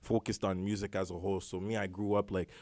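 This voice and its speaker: A young man from Canada, a rapper, talking casually in an interview, relaxed and rambling with lots of slang and filler. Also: low voice, quick pace, no echo, clean studio sound. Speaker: rapper guest.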